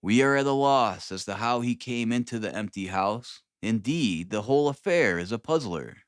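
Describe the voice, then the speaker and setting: A man narrating a classic novel as an audiobook, expressive, with deliberate, dramatic phrasing. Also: medium pitch, clean tone, no echo, clean studio sound.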